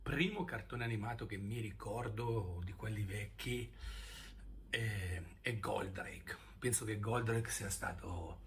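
A man's voice: fairly low pitch, takes it slowly, a bit bright. A middle-aged man, rambling casually and searching for words, recorded on a phone at arm's length. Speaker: online video creator. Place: indoor room at home. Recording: noisy handheld phone recording.

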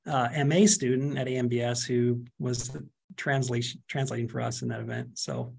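A man in his forties, a seminary project specialist, speaking in a relaxed, appreciative way during a video-call interview. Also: mid-range voice, normal speed, vocal fry, husky.

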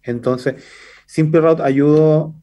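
A man in his thirties with a Chilean accent, a startup founder speaking over a video call, fluent and quick with many fillers. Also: steady tone, warm tone, dull audio. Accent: Chilean Spanish. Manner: fast, fluent pitch, many fillers like "entonces" and "eh". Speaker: startup CEO guest. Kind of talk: business interview by video call. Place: indoor room.

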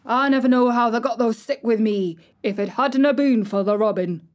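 A woman voicing a grumpy old male gardener with a Yorkshire accent, shouting and scolding in a storybook reading. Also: a little high, normal speed, close to an everyday voice.

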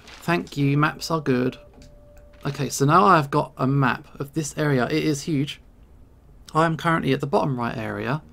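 Male let's player, slightly high pitch with strong creak, casual halting think-aloud commentary. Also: a bit bright. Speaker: video game player and host.

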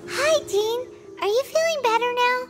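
Squeaky tiny fairy girl. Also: normal speed. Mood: concerned. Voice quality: squeaky, childlike. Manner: perky, inquisitive, refers to herself by name. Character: tiny floating fairy companion girl, chirpy and childlike. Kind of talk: anime video game voice acting.

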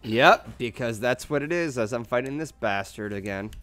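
A man's voice: mid-range voice, lively intonation, moderate pace, fairly bright tone. A man commenting on his gameplay and joking with friends in a voice chat. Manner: commenting on play, chummy. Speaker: streamer playing the game. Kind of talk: casual voice chat while gaming.

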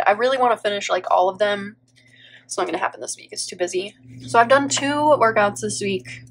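A woman in her thirties, stuffy from allergies, chatting casually as she thinks aloud, peppered with "like" and little laughs. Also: medium pitch. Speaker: planner vlogger and teacher. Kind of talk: casual plan-with-me voiceover. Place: indoor home table, overhead camera on planner.

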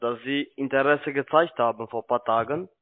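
Tinny phone line, pushy non-native male telemarketer. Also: mid-range voice. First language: not German. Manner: pushy, broken grammar, halting. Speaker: cold-calling telemarketer. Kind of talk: phone sales call.

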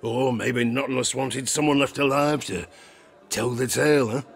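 Gravelly, theatrical old sailor. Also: male voice, medium pitch, fairly even intonation, moderate pace. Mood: haunted, hushed. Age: older. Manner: salty sailor's dialect, chuckling, theatrical asides. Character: grizzled old harpooner spinning a tavern sea tale, boastful, haunted. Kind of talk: dramatic audio drama storytelling.

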